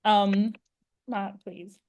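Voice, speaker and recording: A woman with a Nigerian accent moderating a panel over a video call, soft and polite. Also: mid-range voice, steady tone, drawn-out words, no echo, dull audio.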